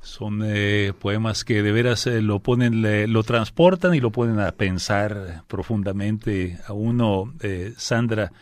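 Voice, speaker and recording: A man's voice: fairly low pitch, slow pace, few pauses, dry recording. A man interviewing a poet on the radio, polite and hesitant, with frequent filler sounds.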